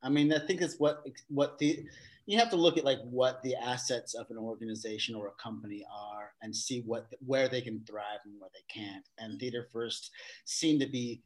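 A man with a voice of ordinary pitch, chatting as a guest on an interview podcast. He works his ideas out as he speaks, doubling back and restarting, and he livens up now and then. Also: normal speed, poor audio. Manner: thinks aloud with many restarts and fillers, animated bursts. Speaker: guest, theater company facilitator. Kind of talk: casual conversational interview.

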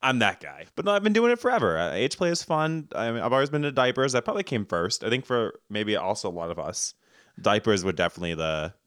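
A man co-hosting a casual podcast chat, laid-back and quick to laugh. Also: lowish voice, sing-song, a bit bright, smooth, no room sound, studio quality. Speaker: podcast co-host.

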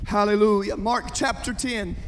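A hoarse man preaching as youth pastor at a live church service, fervently calling out to the congregation. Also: high-pitched, steady tone, normal speed, a bit bright, a little hiss or hum. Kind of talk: Pentecostal-style preaching. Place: church sanctuary. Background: organ music.

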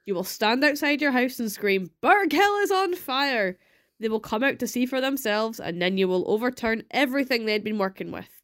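Woman voicing a stern, high-voiced village wise man. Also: lively intonation, moderate pace, a bit bright. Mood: stern, urgent. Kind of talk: storybook character reading.

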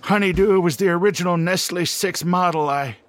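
A man's voice: high-pitched, fairly quick, lightly acted. A man playing a lovelorn old inventor in a comedic radio play, pleading and faltering. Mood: sheepish confession.

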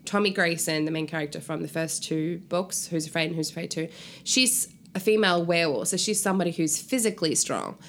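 A woman's voice: on the low side, brisk. A woman speaking casually and informally as a guest author in an interview. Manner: animated, informal, frequent "like" and swearing.

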